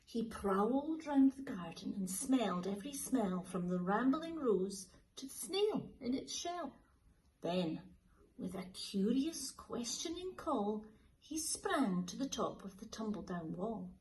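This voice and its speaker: A woman teacher reading a children's picture book aloud in a slow, lilting, expressive way. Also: on the high side, a little hiss or hum, rough recording. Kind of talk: storybook read-aloud for children.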